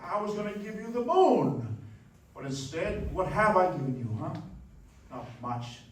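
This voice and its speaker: A man's voice: high-pitched, big pitch swings, measured pace. A man playing an earnest, self-doubting small-town husband who stammers with feeling in a live radio play. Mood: weary, dejected. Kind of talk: live radio play acting in old American film manner. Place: live stage in a dance hall. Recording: echoey noisy dance hall, distant mic.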